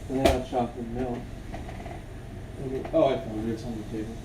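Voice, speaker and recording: A man's voice: mid-range voice, little pitch movement, moderate pace. A young man with a congested, sniffly voice, chatting offhand to his stream as he plays. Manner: rambling, offhand, talks to himself. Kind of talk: casual stream chatter while playing. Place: room at home. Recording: echoey room, mic at a distance, background noise.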